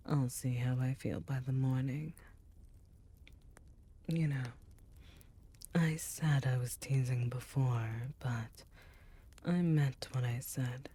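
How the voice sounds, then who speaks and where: A woman playing an ancient demon queen, a tender, teasing succubus, speaking softly and breathily in an intimate ASMR roleplay, often in whispers. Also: rumbling low, exaggerated voice. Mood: tender, seductive.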